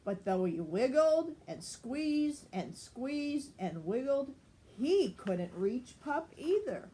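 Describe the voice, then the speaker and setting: An older woman reading a children's story aloud in an expressive, grandmotherly style. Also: sits high, takes it slowly, slight background noise.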